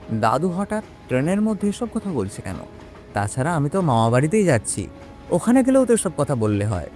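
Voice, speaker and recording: A man playing a young man who recounts his own eerie experience in an expressive, suspenseful audio horror drama. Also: mid-range voice, lightly acted. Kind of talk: dramatic first-person audio horror storytelling.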